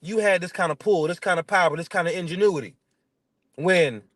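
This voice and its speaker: Male, thirties, high voice with a stutter, dry sound. Blunt, slangy, emphatic casual storyteller as an interview guest. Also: fairly quick, a bit bright, dry recording. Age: 30s. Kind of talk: casual chat, animated storytelling.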